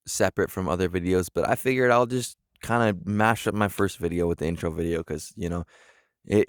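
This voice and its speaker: A young man in his twenties speaks to camera in an earnest, halting way, often pausing and restarting. His voice sits very low and has a gravelly rasp. The sound is close and dry. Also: no room sound. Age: 20s. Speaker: vlogger. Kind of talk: casual talk to camera.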